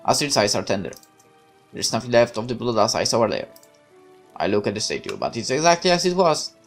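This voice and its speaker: A man's voice: mid-range voice, very animated, a little fast, soft background music. Man reading a game story aloud. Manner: hesitant asides full of fillers. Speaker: game streamer. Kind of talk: reading a visual novel aloud with commentary.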